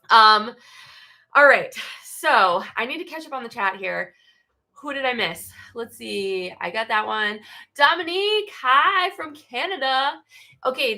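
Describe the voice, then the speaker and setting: A woman with a cold and a stuffy, nasal voice, hosting a casual livestream in a bubbly, excitable way. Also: medium pitch. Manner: bubbly, excitable, many fillers. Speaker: livestream host selling merch. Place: home studio.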